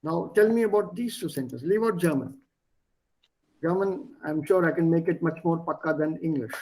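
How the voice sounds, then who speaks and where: A man with an Indian accent, a native Gujarati speaker, teaching an online German class in English over a video call, explaining patiently and slowing down to stress key words. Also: a little high, a little mellow, low-quality recording.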